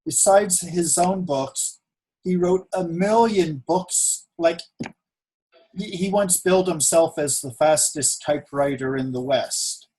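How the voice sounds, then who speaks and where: A man's voice: on the high side, takes it slowly, a little mellow, breathy edge, rough recording. A man hosting an online event over a video call, chatting in a rambling, jokey, casual way. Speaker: event host. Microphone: video call microphone.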